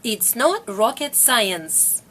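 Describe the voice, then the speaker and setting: A middle-aged woman teaches English to learners. Her voice sits a little high and rises and falls a great deal. She speaks slowly and repeats each phrase clearly. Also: big pitch swings, noisy background. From Russia. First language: Russian. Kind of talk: slow, careful video lesson.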